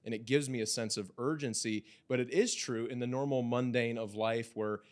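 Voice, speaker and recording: A man in his thirties, a father of young kids, talking reflectively in a casual podcast chat with halting restarts. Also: medium pitch, steady tone. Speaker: podcast co-host.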